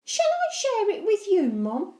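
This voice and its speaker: Storybook little brother, sweet, proud and childlike, high and bouncy, acted by a woman. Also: huge pitch swings, unhurried, mellow, reverberant, heavily acted.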